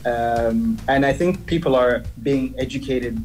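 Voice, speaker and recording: A man who grew up in Dubai, a DJ talking casually as a guest, pausing often with fillers and restarts. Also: mid-range voice, a little mellow, faint music behind, poor audio. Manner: hesitant, many fillers and restarts. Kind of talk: casual interview chat.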